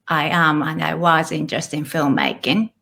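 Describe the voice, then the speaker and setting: A woman in her thirties with a Japanese accent, talking as a guest in a relaxed interview. Her voice sits a little low for a woman. She thinks aloud with small restarts. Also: moderate pace, creaky. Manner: thoughtful, with small restarts and light laughs. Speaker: guest, author and illustrator. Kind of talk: casual interview conversation.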